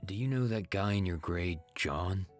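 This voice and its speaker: A man's voice: sits low, moderate pace, soft background music, lightly acted. A man voicing a cold, commanding young student leader in a comic dub, curt, authoritative and suspicious.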